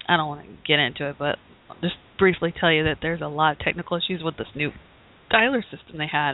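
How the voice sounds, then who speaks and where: A woman who hosts a talk show, hoarse from bronchitis, giving a rambling, casual update with many fillers and restarts. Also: sits low. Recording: tinny phone-like audio.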